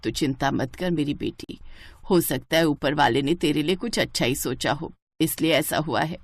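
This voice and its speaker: Woman acting low-voiced, tender old ailing mother. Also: moderate pace, no echo. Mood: gently reassuring. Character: ailing, anxious, loving old village mother.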